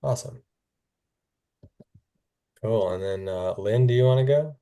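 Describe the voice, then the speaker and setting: A man with a mellow, smooth voice moderates a video call, casually passing the floor from one guest to the next. His delivery is relaxed and informal. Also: on the low side, a little slow. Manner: casual, relaxed, hands turns to others. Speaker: event moderator.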